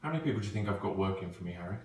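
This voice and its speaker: A man playing a genial but commanding company boss in a stage play, chummy and deliberate. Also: low voice, expressive, a little mellow. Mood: confiding, wary. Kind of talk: amateur stage-play acting, naturalistic dialogue. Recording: very echoey, distant, faintly noisy recording.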